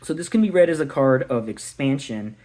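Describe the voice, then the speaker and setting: A man's voice: mid-range voice, lively intonation, brisk, a little mellow. Man reading tarot with a sore throat. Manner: reflective, thinking aloud with fillers and restarts. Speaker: tarot reader. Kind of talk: casual tarot reading to camera.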